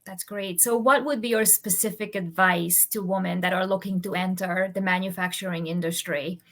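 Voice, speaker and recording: A woman's voice: on the low side, steady tone, normal speed. Woman host reading a formal introduction. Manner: formal, reads out a prepared introduction. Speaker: interviewer, host. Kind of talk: prepared interview questions.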